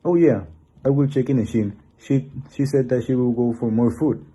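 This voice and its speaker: An earnest hunter of monsters, played by a man, shaken and full of regret. His voice is very dark and husky, in a plain amateur radio-play delivery. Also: mid-range voice, normal speed, close to an everyday voice. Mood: weary. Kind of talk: amateur radio drama acting.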